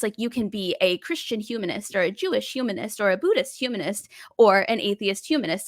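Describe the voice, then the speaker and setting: A woman hosting a podcast interview, chatty and enthusiastic, with quick reactions and friendly, casually worded questions. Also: mid-range voice, a bit bright. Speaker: podcast host.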